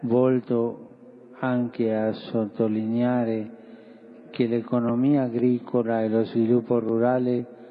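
A man delivers a public address very slowly and evenly, with almost no rise or fall in pitch. The sound is thin and narrow, like an archived broadcast heard over a phone. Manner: slow, deliberate, monotone.

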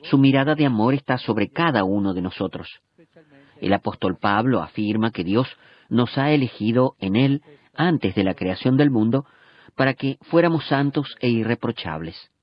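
A man reading a Spanish translation of a papal address in a calm, measured voice. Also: medium pitch, slightly rough, dull audio. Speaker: voice-over interpreter. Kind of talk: read translation of a homily.

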